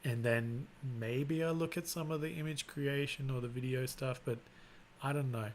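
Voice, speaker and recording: A man hosting a live stream, chatting casually in a flat, rambling way and often restarting his sentences. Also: medium pitch, moderate pace, slight background noise. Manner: self-described monotone, rambling with restarts. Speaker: live-stream host and content creator.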